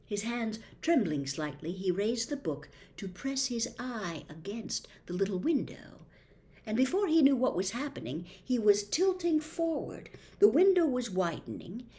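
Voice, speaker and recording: A woman reading a children's fantasy novel aloud in an expressive, dramatic way. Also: medium pitch. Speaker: reader.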